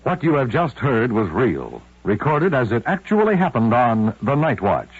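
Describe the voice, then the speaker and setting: A man's voice: medium pitch, very animated, moderate pace, slightly rough, no echo. An American man announcing dramatically and emphatically in 1950s radio style. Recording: tinny, narrow-band 1950s radio recording.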